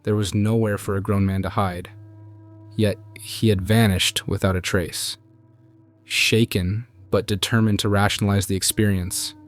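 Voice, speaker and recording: Low-voiced man narrating eerie tales. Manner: calm, measured, hushed storytelling. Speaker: narrator reading viewer stories. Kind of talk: scripted horror story narration.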